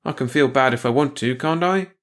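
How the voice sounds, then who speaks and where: A lovesick young spaceship crewman, acted by a male reader in a sci-fi audiobook. He sulks and argues defensively in plain slang, his pitch lifted. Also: fairly quick, fairly bright tone, close to an everyday voice. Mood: mopey.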